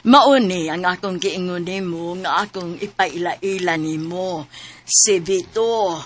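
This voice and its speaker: An actress playing a kindly, chatty older friend and matchmaker in a radio drama. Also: female voice, on the low side, takes it slowly. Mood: friendly, coaxing.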